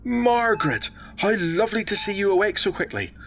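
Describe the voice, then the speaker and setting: A man playing a calm, measured hospital doctor in a radio drama, professional, reassuring and deliberate. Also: high voice, full character voice. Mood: gently coaxing.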